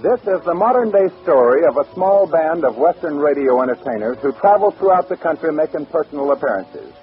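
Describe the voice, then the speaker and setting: A man's voice: a little high, lively intonation, brisk, faint music behind. A man with an American accent announcing and narrating an old-time radio drama from a script. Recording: tinny, narrow-band vintage radio recording.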